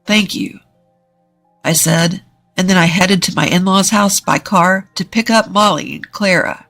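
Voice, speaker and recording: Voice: medium pitch, steady tone, moderate pace, a bit bright. Scripted story narrator over faint music. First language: English.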